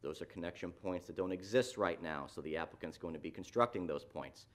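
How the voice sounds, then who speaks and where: Municipal planner, low voice, measured explanatory delivery, lots of "uh"s and restarts. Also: male voice, fairly bright tone. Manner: explanatory, frequent fillers and restarts. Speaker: city planning staff presenter. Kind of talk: staff report at a public meeting. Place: council chamber.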